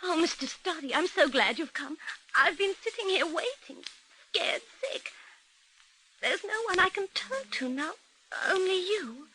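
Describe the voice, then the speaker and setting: A frightened woman from a vintage Australian radio serial, with an Australian accent. Her voice sits high and is piercingly bright. She pleads in a breathless, theatrical way and is quick to defend herself. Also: animated, slight background noise, full character voice, low-quality recording. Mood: scared, pleading. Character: frightened, melodramatic, defensive woman living alone. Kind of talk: old-time radio drama acting.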